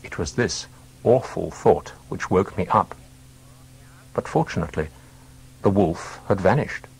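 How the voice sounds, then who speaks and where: Male story narrator, lowish pitch, roughened tone, expressive melody. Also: unhurried, low-quality recording.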